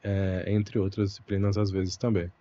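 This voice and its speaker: Brazilian man, young adult, lowish voice, thoughtful interview guest, muffled sound. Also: level tone, warm tone. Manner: thoughtful, unhurried, frequent "né" and "puxa" fillers. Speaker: guest, Portuguese teacher. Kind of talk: relaxed conversational interview.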